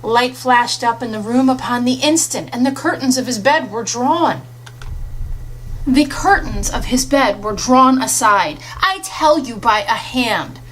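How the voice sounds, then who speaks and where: High-voiced woman reading a literary story. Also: animated, measured pace, a bit bright, rough recording. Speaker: audiobook reader. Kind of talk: expressive scripted literary narration. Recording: audible hum and faint noise.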